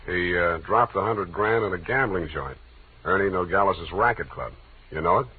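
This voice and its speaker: An actor playing a hard-boiled, cynical private detective with a dry, sardonic, slangy delivery in a 1940s radio drama. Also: male voice, low voice, moderate pace, slightly rough. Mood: blunt, dismissive. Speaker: lead character and narrator. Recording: noisy, narrow-band old radio recording.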